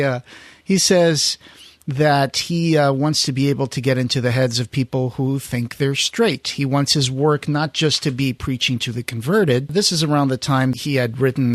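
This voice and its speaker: A man hosting a podcast in casual conversation, feeling his way haltingly through his sentences. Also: mid-range voice, no echo. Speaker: podcast host.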